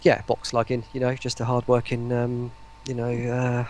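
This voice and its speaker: A British man with a gravelly voice chatting casually as a guest on a livestream, rambling and self-deprecating. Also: medium pitch, monotone, moderate pace, darkish tone, a little hiss or hum. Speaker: interview guest, voice pack maker. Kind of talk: casual livestream interview chat.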